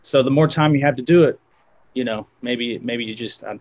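A man's voice: medium pitch, fairly quick, darkish tone, dull audio, a little hiss or hum. A man in his thirties, a guest guitarist, talking casually on a video call with many fillers and restarts.

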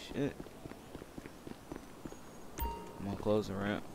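A man's voice: on the low side, fairly even intonation, takes it slowly, creaky, over music. A young man giving casual, slangy, profane gameplay commentary, often muttering to himself as he plays. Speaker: gamer recording gameplay video. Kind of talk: casual gaming commentary, roleplay. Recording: loud game engine and street noise. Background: video game car and city sounds.

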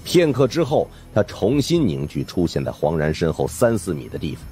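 A man's voice: low voice, sing-song, moderate pace, music in the background. A man giving dramatic scripted narration of supernatural suspense fiction as an audiobook narrator.

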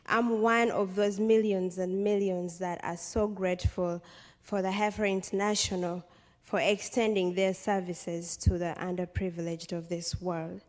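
A woman's voice: mid-range voice, darkish tone. A young woman with a Ugandan accent giving an earnest, emphatic personal speech to an audience from a podium. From western Uganda. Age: young adult. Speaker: guest speaker, college student. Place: stage in a large hall. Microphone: podium microphone. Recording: long hall reverb from podium PA.

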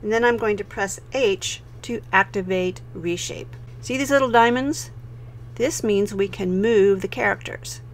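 A woman with an American accent presents a software tutorial as a voice-over, explaining clearly step by step. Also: mid-range voice, a little slow, slight background noise. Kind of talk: instructional screen-recording narration.

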